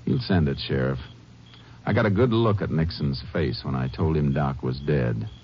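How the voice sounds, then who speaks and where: An actor playing a calm, shrewd Texas Ranger in a measured, assured, plain-spoken way, in 1950s radio western style. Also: male voice, extremely low pitch, a little hiss or hum. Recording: muffled old radio recording with music.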